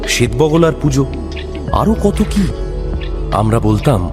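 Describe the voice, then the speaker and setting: A man narrating a scripted audio story as a storyteller, with expressive delivery. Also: medium pitch, unhurried. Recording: music under the voice.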